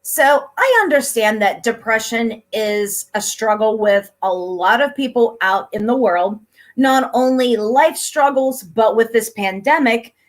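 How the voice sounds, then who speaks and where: A chatty, upbeat middle-aged woman from Pennsylvania hosts a casual interview. Her voice sits a little high, and she laughs easily as she tells stories. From Pennsylvania, USA. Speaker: host.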